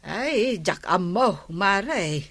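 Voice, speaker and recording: A woman playing a slow-talking village gossip. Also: medium pitch, lively intonation, a bit bright, heavily acted. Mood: chatty. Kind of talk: radio comedy drama acting.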